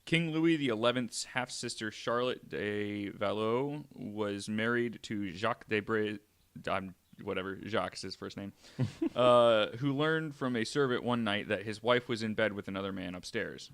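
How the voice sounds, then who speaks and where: Bright, forceful low-voiced man co-hosting a podcast. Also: moderate pace, clean tone. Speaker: podcast co-host. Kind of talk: casual chat with read-out ghost stories.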